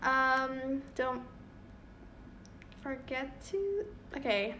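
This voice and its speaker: A woman's voice: sits high, expressive, extremely slow, bright, forceful tone, slight background noise. A young woman hosting a casual app tutorial, informal, giggly and a little hesitant, talking over a screen recording. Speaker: tutorial channel host.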